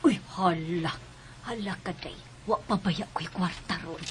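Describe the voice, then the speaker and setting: An actress playing a boisterous, jolly neighbourhood vendor in a comic Bisaya radio drama, excitable and repeating her words, with a Cebuano accent. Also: lowish voice, a little slow, loud music behind the voice, natural voice. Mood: flustered, evasive. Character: boisterous, jolly older neighbourhood vendor woman, evasive about debts.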